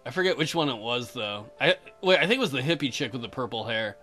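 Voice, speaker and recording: A man's voice: medium pitch, very animated, fast talker, sharp tone. A man doing casual, crude, joking commentary on a visual novel game, slipping into sing-song asides. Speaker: let's player. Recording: music behind. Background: game music.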